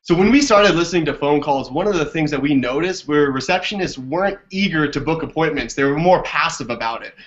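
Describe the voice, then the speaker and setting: A man's voice: on the high side, animated, fairly quick, few pauses. A man answering as an interview guest over a video call, explaining things conversationally. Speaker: interview guest, business development director. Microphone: video call microphone. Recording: dull lo-fi video call audio.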